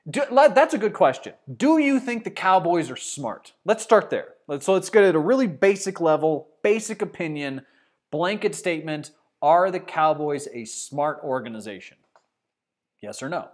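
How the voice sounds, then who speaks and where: A man's voice: on the high side, expressive, normal speed. A man from Arkansas with a mostly neutral Midwestern-sounding voice, hosting a livestream show, rambling and sarcastic as he reads chat comments. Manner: rambling, sarcastic, trails off and restarts. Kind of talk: casual football talk, reading chat comments.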